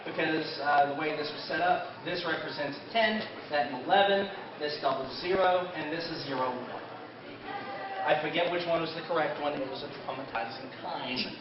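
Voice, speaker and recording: Higher-voiced man presenting in an echoey room. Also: normal speed, crowd noise. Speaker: convention panel presenter. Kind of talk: informal panel talk about an anime, partly in character. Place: convention panel room, indoors. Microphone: distant camera microphone. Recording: roomy, dull, distant camera with audience.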